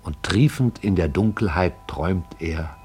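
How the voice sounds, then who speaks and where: A man narrating a radio play in a lyrical, measured way. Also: sits low, expressive, darkish tone, husky, soft background music. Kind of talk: poetic radio drama narration.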